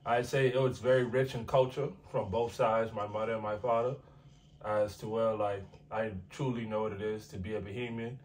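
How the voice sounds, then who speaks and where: A man's voice: lowish voice, fairly even intonation, normal speed, a little mellow, far from the mic. A young American man, a rapper, talking casually in an interview, laid-back and slangy with lots of filler phrases. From United States. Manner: laid-back, slangy, many "like" and "you feel what I'm saying".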